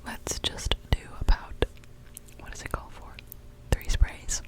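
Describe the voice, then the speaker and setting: A young woman whispering gently and without hurry in an ASMR witch roleplay, speaking directly to the listener. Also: takes it slowly. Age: young adult. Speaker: ASMR performer.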